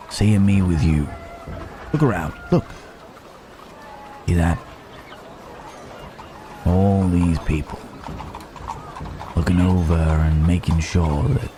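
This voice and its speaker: Man acting a deep, slow-drawling cowboy drifter. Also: dark tone, a little hiss or hum. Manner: unhurried, pausing drawl, teasing and reassuring. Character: rugged, protective cowboy drifter with a rough reputation. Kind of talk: intimate ASMR roleplay, Wild West character acting.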